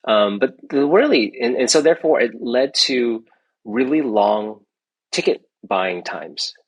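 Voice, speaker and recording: A man's voice: medium pitch, lively intonation, measured pace, husky. A middle-aged man, an entrepreneur guest in a casual interview, rambling with many restarts and tag questions. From United States.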